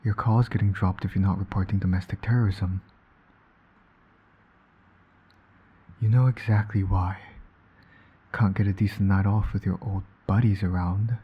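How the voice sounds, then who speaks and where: A low, husky male voice for a roleplay audio drama , a man acting it out. He is a smug villain who flirts and needles with dry sarcasm, yet shows a fatherly soft side. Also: steady tone, brisk, dull audio. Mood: smug, teasing. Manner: smug, teasing, sardonic. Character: teasing, flirtatious male supervillain, doting secret father.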